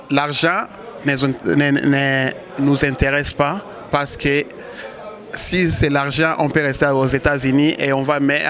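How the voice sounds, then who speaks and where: A man from Congo speaks as a football manager in an interview. He talks slowly in a flat, even voice, stopping often to restart his sentences. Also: fairly high pitch. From Congo (DRC). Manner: halting, many restarts and hesitations. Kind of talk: interview answers.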